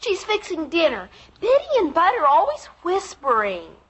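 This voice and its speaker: An eager kid sister in an old radio family comedy. The little girl's voice is extremely high, bright and very animated, whiny and dramatically earnest. It sounds like a dated, noisy broadcast. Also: moderate pace, full character voice. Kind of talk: 1950s radio sitcom acting.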